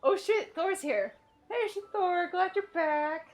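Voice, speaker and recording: A woman's voice: very high-pitched, animated, slow, a little hiss or hum. A woman giving casual, joking commentary while playing a video game. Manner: joking, voices mock quotes. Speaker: gaming commentator. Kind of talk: casual playful gameplay commentary.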